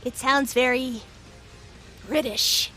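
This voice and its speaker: A woman's voice: sits high, fairly even intonation, slow, sharp tone, faint music behind, heavily acted. A woman playing an alert, wry young heroine in a cartoon-style audio drama. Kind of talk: animated-series style audio drama acting.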